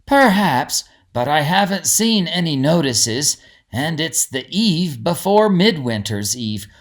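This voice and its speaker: A man's voice: on the high side, very animated, slow. A man voicing an optimistic fantasy traveler in a storybook, speaking in an upbeat, speculating way. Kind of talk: storybook character dialogue.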